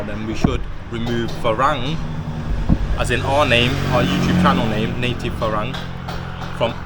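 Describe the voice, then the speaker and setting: Thai host, candid opinionated rant, mid pitch, outdoors with clear traffic noise. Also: male voice. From Thailand. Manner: candid, opinionated, lots of filler "you know". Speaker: vlog host. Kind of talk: casual ranting monologue. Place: outdoors by a street restaurant.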